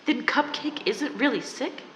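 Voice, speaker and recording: A woman's voice: high voice, unhurried, a bit bright. A woman playing a plucky amateur sleuth in a radio drama, earnest and old-fashioned. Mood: alarmed. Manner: earnest, breathless, old-fashioned heroine talk. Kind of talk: 1940s radio drama acting. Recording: noisy background hiss.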